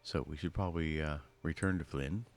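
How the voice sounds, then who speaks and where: A man's voice: very deep voice, brisk. A man giving casual walkthrough commentary on a video game, talking through each of his own actions. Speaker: gaming video host.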